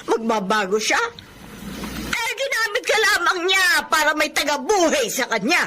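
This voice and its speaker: An actress playing a blunt, exasperated older sister who nags and scolds in a Filipino radio drama, with a Filipino accent. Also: female voice, high voice, sing-song, normal speed, noisy background. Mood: exasperated scolding. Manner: blunt, nagging, indignant.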